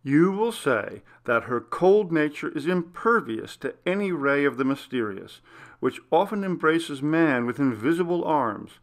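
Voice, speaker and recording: A man reading a classic Gothic story aloud as scripted narration, in a measured, formal literary manner. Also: mid-range voice, fairly bright tone. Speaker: audiobook reader.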